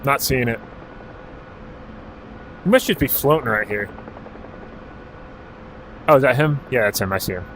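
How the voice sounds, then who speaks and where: A man's voice: mid-range voice, measured pace, long pauses, slight background noise, low-quality recording. A man from Alaska streaming a helicopter game, chatting casually while calling out directions and ranges. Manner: relaxed and profane, calling out clock directions and ranges. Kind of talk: casual gaming livestream commentary.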